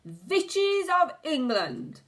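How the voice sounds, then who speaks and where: A woman doing a shrieking, high, raging old witch queen. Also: big pitch swings, heavily acted. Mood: ranting furious. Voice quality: shrieking, screaming. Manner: slow, drawn-out, ranting commands. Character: shrieking, furious old female witch leader. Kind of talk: storybook reading.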